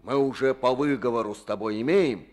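A man's voice: mid-range voice, brisk, darkish tone, close to an everyday voice. An actor playing a domineering, folksy Soviet party boss who bosses and mocks his deputy in a radio drama. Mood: scornful. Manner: bossy, blustering, folksy. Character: blustering domineering male party district boss.

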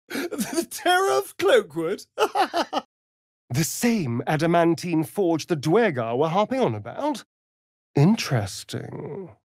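Sardonic vampire aristocrat, British accent, vain, arch drawl, very animated intonation, unhurried, video game character. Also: male voice, fairly high pitch, dry recording, clean studio sound, heavily acted. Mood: mocking excitement, then wry. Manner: theatrical, arch, drawling delivery.

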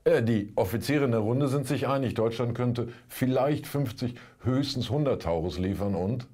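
A man's voice: mid-range voice, moderate pace, dark tone. The sardonic older man at a studio desk who picks apart the week's politics in a satirical show. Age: 60s. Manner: sardonic, colloquial. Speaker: commentator, presenter. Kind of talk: satirical scripted political commentary. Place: indoor studio.

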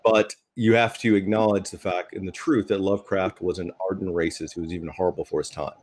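A man's voice: low voice, steady tone, fast talker. A man speaking thoughtfully as a panelist in a discussion over a video call. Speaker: panelist, game designer. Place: indoor room at home.

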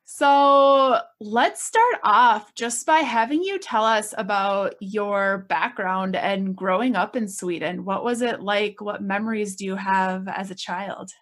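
A woman's voice: mid-range voice, takes it slowly, few pauses, fairly bright tone. A woman hosting a podcast, introducing and interviewing a guest.

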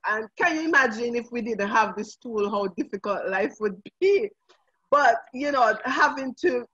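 A woman moderates a panel discussion in a high, husky voice. She sounds sharp and forceful. The audio is dull and echoes in the room. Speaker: forum host and moderator. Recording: harsh, roomy, dull online call audio.

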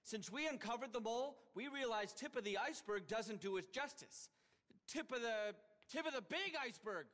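A man's voice: very high-pitched, expressive, moderate pace, fairly bright tone. An actor playing a pompous, manic tech billionaire in his thirties in a game cutscene, indignant and theatrical. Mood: alarmed, exasperated. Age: 30s. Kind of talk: animated game cutscene acting.

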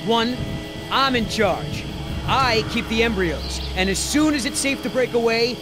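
A man's voice: very high-pitched, lively intonation, measured pace. An actor playing a cocky, menacing male mercenary in a video game, mocking and bossy. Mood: smug, bossy. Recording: music under the voice.

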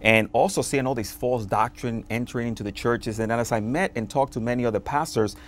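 A man from the Dominican Republic, a pastor, speaking earnestly as a guest in a television studio interview, often pausing on "uh". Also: mid-range voice, a little hiss or hum. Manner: earnest, fervent, with frequent filler "uh". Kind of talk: conversational testimony. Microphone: lapel mic.

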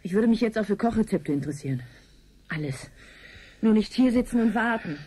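A husky, mellow, anxious woman. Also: mid-range voice, expressive, a little fast. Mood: impatient. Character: anxious, impatient woman waiting at mission base. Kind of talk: radio play acting.

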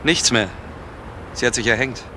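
An actor playing a blunt, matter-of-fact plainclothes detective, asking terse questions in a German crime radio play. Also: male voice, mid-range voice, moderate pace, close to an everyday voice. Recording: noisy old radio drama recording.

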